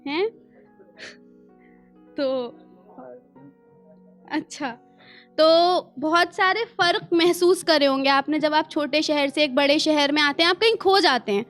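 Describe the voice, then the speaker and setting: An Indian woman performs spoken-word poetry in a distinctly high voice. Her delivery is expressive and deliberate, leaning on refrains and repeated lines for emphasis, with conversational asides to listeners. Soft music sits behind her. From small town in India. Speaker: poet performing her own work.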